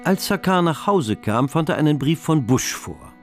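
An actor as the composed, knowing narrator of a radio drama, telling the story from a script. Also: male voice, fairly high pitch, expressive, normal speed, faint music behind, close to an everyday voice.

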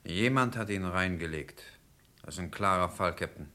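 A man's voice: deep voice, normal speed, pushed and bright. A man acting an insistent, puzzled witness in a crime radio play, speaking emphatically. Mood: emphatic.

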